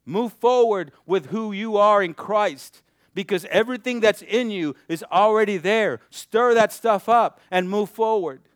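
A young male preacher with a smooth, resonant voice, recorded close and dry. He climbs to soaring, almost shouted peaks of exhortation, then drops to a low, hushed, intimate tone to drive his point home. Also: unhurried, hardly any pauses, no room sound. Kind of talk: sermon.

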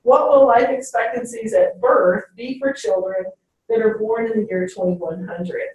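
A woman's voice: fairly high pitch, moderate pace, a little mellow. Woman lecturing in an echoey classroom. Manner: ends phrases with "okay?". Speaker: teacher. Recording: very echoey classroom, distant mic.

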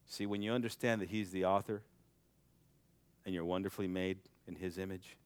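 A young adult man preaching a sermon, swinging from loud, shouted exhortation to quiet, intimate passages. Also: sits low, fairly even intonation, fairly quick, clear, resonant tone.

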